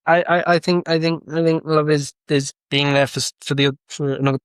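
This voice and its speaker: A man in his thirties, a podcast guest talking casually and haltingly, often restarting his sentences. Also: on the high side, steady tone, clear, resonant tone, dry recording, clean studio sound. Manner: hesitant, lots of restarts. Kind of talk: casual chat.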